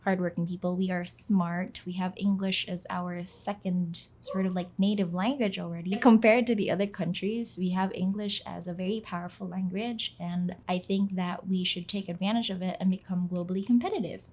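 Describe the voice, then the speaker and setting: A woman's voice: fairly low pitch, steady tone, moderate pace, hardly any pauses, darkish tone, slight background noise. Chatty young woman from the Philippines. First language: Filipino. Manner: chatty, self-deprecating, peppered with "like". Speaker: YouTuber, channel host. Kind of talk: casual talk to camera. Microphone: voice-over, not on camera.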